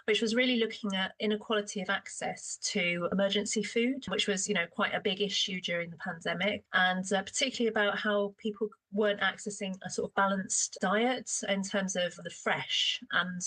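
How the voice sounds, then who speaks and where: A woman's voice: medium pitch, steady tone, normal speed, few pauses, bright, forceful tone, poor audio. A woman, a food partnership coordinator, explaining her work in an interview, thoughtful with fillers. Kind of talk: interview answer.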